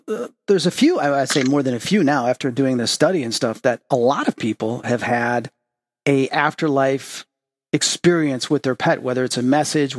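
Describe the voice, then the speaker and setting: A man hosting a podcast, interviewing a guest with encouraging, curious questions. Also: mid-range voice. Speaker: podcast host.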